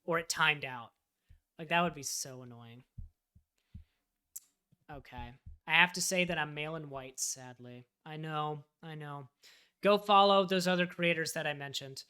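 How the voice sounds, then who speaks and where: Bright-voiced man chatting on a livestream. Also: a little high, unhurried, long pauses, smooth. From United States. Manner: chatty, thinking aloud while filling out a form. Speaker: live streamer and web developer. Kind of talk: casual livestream chat.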